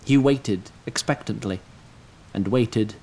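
A man's voice: slow pace, darkish tone. A man narrating a fantasy audio drama in scripted storytelling style. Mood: descriptive. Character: male narrator of a fantasy tale. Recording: noisy, rumbling effects behind.

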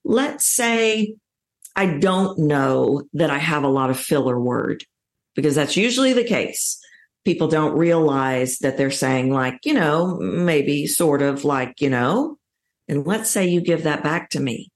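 A middle-aged woman with a low voice and a Texan accent. She speaks as a coach being interviewed, explaining things emphatically in a conversational way. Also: animated, unhurried. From Texas, United States. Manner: emphatic, illustrates points with acted-out quotes. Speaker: voice coach, podcast guest.

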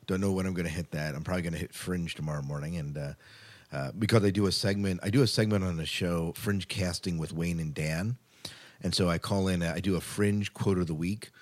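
A man's voice: sits low, steady tone, fairly quick. A man co-hosting a podcast in casual chat, rambling along informally and with enthusiasm. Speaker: podcast co-host.

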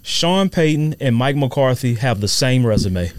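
A man's voice: mid-range voice, animated, a little slow. A man on a sports podcast panel, chipping in with short, declarative opinions in casual talk.

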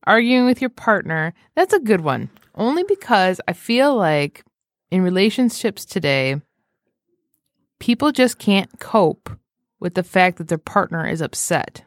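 A woman hosting a podcast, chatting casually and telling anecdotes in an animated way, often acting out what people say. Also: mid-range voice, unhurried, no echo, studio quality. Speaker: podcast host.

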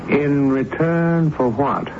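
An actor playing a learned, middle-aged man who is uneasy and dryly superior, with measured, bookish speech in a 1970s radio drama. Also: fairly high pitch. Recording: muffled vintage radio broadcast, clear hiss.

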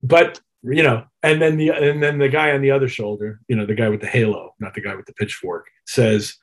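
A man with a husky voice talking as a musician guest in an interview, measured and reflective as he recounts his career. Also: medium pitch, steady tone. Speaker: musician guest, touring keyboard player. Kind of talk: interview chat, telling career anecdotes.

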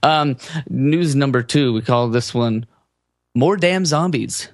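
A man's voice: mid-range voice, slow pace, dry recording, clean studio sound. A young man hosting a casual podcast, talking in an upbeat, informal way. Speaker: podcast host. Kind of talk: casual podcast chat and news rundown.

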